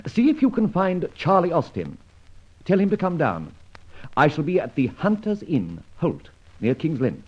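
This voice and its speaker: Gentleman adventurer: higher-set voice, wildly swooping delivery, urbane and resolute, old radio serial sound. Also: huge pitch swings, moderate pace, husky, full character voice. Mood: breezy, commanding. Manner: breezy, urbane, emphatic, old-fashioned turns of phrase. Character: suave, self-assured gentleman adventurer, playful and resolute. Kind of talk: old-time radio drama acting. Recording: dull, lo-fi old radio recording.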